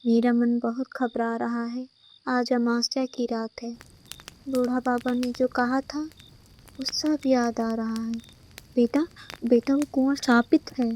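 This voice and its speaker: A woman's voice: fairly high pitch, flat delivery, takes it slowly, a little mellow, a little hiss or hum. A woman voicing a fretful village mother who implores her son, in a melodramatic cartoon style. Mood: anxious, imploring. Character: anxious, pleading village mother of grown son.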